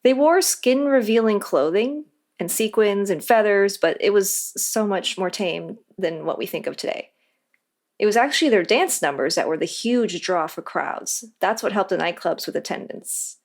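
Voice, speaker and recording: A woman's voice: medium pitch, lively intonation, normal speed. A woman answering as an interview guest, in a scripted but conversational explanatory style.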